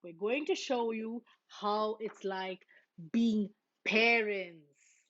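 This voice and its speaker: A woman's voice: mid-range voice, fairly even intonation, drawn-out words, a bit bright, dull audio. A woman voicing an instructive mama bear explaining things to her cubs in a storybook.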